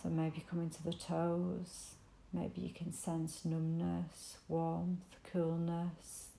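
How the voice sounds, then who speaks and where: Low, gravelly, soothing woman guiding meditation. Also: completely flat delivery, roomy sound, slight background noise, rough recording. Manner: gentle, soothing, unhurried pauses, invitational. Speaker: meditation guide. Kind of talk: guided body scan meditation.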